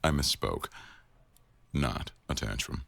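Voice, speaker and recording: A man playing an attentive, dry-witted male news boss in an intimate boyfriend ASMR roleplay, speaking in a measured, gently teasing way. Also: very deep voice, expressive, creaky, no room sound, exaggerated voice. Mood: dryly teasing. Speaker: audio roleplay performer.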